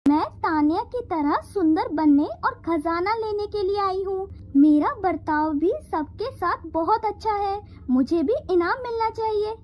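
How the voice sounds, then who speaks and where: A woman's voice: very high-pitched, a little slow, hardly any pauses, muffled recording. A woman voicing a greedy, vain young fairy in a cartoon, with a whiny manner. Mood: entitled.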